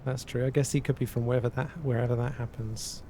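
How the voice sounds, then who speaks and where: Halting man streaming over a rumble. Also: flat delivery, mellow. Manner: thinking aloud, halting, repeats words. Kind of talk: casual live-stream chat, reading chat and working math aloud. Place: cold indoor room. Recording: noisy with a low rumble.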